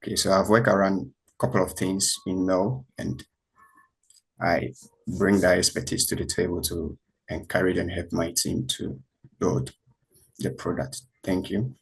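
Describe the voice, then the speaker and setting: A man introduces himself in a meeting. His voice is very deep, flat and gravelly, with a husky edge. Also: poor audio. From Ghana. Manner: brisk, uses "okay, so". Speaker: AI engineer.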